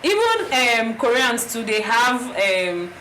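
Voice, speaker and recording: A woman with a Nigerian accent, co-hosting a casual podcast chat in a lively, enthusiastic way. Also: on the high side, slight background noise, rough recording. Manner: lively, enthusiastic, quick to agree. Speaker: podcast co-host.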